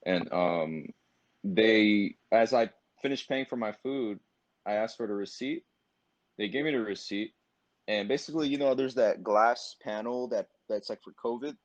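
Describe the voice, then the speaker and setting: A young man from Pakistan, a podcast guest, telling a story in an animated, streetwise way and calling people bro. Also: lowish voice, measured pace, dry recording. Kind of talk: casual storytelling. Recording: dull dry lo-fi sound.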